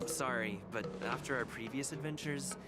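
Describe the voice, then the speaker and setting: A man playing a composed, wary young traveller in an anime-style game, speaking in a measured, dry way. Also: mid-range voice, over loud music, natural voice.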